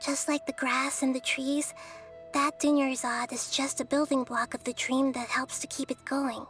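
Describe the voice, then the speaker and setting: A woman voicing a gentle, wise, childlike young goddess in a video game, speaking softly in a measured, thoughtful, explanatory way. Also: sits high, level tone, soft background music. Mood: grave, explanatory. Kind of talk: English video game dub acting.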